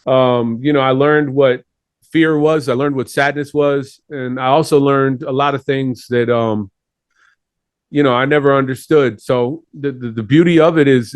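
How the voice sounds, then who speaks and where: A man's voice: medium pitch, no echo. A man from Chile, talking earnestly and at length as a guest in a casual interview. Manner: earnest, rambling.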